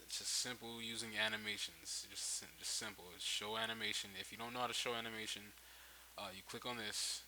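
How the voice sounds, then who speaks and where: A man giving a casual, off-the-cuff software tutorial, repeating and restarting his phrases as he goes. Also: lowish voice, brisk. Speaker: tutorial host. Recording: hissy home microphone recording. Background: steady hiss.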